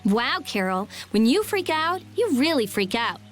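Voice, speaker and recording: A woman playing a prickly, sharp-tongued teenage girl mage in a video game, blunt and scornful. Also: fairly high pitch, sing-song, a little slow, soft background music. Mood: defensive, irritated. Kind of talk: video game dub acting.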